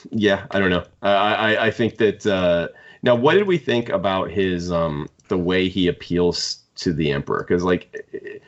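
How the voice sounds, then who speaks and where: Deep-voiced man on a casual podcast, slightly muffled. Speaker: podcast host. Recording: dull, lo-fi sound.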